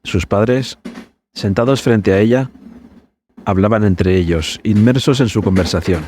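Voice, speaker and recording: A man hosting a podcast, narrating a scripted story in a measured, suspenseful manner. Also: on the low side, darkish tone, slight background noise.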